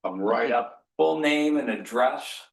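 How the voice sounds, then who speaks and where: A man's voice: medium pitch, lively intonation, takes it slowly, gravelly, distant mic. A man chairing a city council meeting who talks in a folksy way, recounting local history and calling on speakers. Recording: roomy council chamber.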